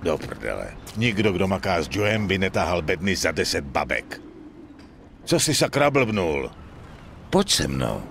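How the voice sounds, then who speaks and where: Deep, gravelly dockside thug. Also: male voice, very animated, a bit bright, slight background noise, exaggerated voice. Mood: surprised. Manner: gruff, curt, swearing orders. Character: surly, thuggish male dock foreman and henchman. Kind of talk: dubbed crime game cutscene acting.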